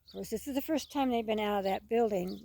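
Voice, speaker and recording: A woman's voice: mid-range voice, quick pace, gravelly, dark tone. A woman rambling casually in a folksy, repetitive way as she narrates a farm video outdoors. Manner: folksy, rambling, repeats herself. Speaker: farm vlogger. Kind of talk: casual unscripted narration while filming animals. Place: outdoors on a farm, among poultry.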